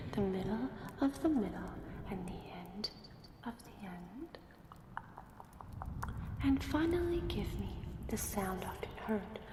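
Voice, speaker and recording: Woman acting a slow whispering enchanted portrait, playful riddler. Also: mid-range voice. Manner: slow, teasing, repeats words in whispers. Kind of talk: ASMR roleplay. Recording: echoey room sound.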